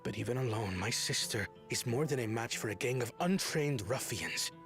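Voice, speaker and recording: A hot-headed young prince in a game cutscene, earnest and fiercely protective. His mid-pitched voice is emphatic, with faint music behind it. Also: expressive, moderate pace, a bit bright. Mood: suspicious, indignant. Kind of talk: video game cutscene acting.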